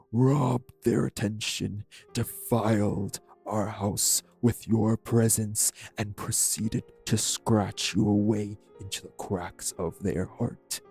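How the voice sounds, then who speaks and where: Man playing a slow, gravelly, haughty vampire sire. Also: lowish voice, darkish tone, heavily acted. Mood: bitter, jealous. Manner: formal, deliberate, menacing, haughty diction. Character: refined, bitter, possessive old vampire sire, jilted husband. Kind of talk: dramatic audio roleplay acting.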